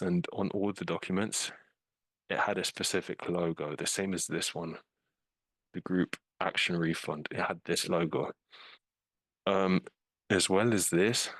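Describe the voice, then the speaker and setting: A man's voice: low-pitched, no echo. A man with a British accent, a scam investigator casually walking through a case in a webinar over a video call, loose with fillers. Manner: informal, uses fillers like "um" and "like". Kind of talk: casual walkthrough of a case with slides.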